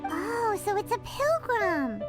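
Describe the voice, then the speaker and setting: A woman's voice: very high-pitched, big pitch swings, takes it slowly, music in the background, exaggerated voice. A woman voicing a tiny, cheeky, bubbly fairy companion in a video game, with an exclamatory manner. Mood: cheeky, surprised. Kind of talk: video game character acting.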